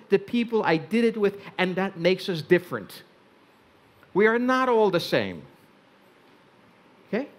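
Older man, first language Hungarian, wry stage talk, high-pitched, headset mic in a big tent. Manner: wry, deliberate, pacing the stage. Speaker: speaker. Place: large tent stage with audience.

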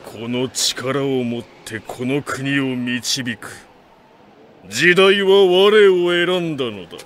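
An actor playing an arrogant, mighty warlord in an anime drama CD, with an imperious, scornful and grandiose manner. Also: male voice, medium pitch, slow, a bit bright, slight background noise. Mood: imperious, grave. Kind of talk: anime game drama CD acting. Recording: music behind the voice.

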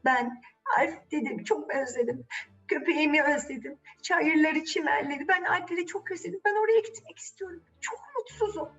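A woman's voice: high voice, moderate pace, a bit bright, far from the mic, muffled recording, soft background music, pitched above the performer's own voice. A woman voicing an eager, naive young girl in a children's storybook tale, exclaiming emotionally. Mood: sad, pleading.

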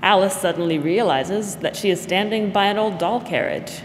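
A woman narrating a scripted 1940s-style radio drama with measured, deliberate phrasing. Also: medium pitch, a little hiss or hum, natural voice. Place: school theater stage. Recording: theater hall reverb. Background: music.

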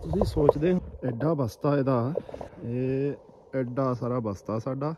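A man's voice: medium pitch, unhurried. A man with a Punjabi accent narrating a casual outdoor vlog, chatting to his viewers. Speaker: vlogger. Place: outdoors in a snowy forest. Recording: noisy outdoor phone camera audio, clicks.

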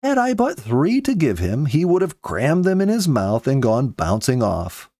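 A man in his fifties reading a story aloud with earnest, measured, dramatic phrasing, close to a studio microphone. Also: mid-range voice, huge pitch swings, mellow, no echo. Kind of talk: expressive audiobook-style story narration. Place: small home study, indoors. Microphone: close studio mic with pop filter.

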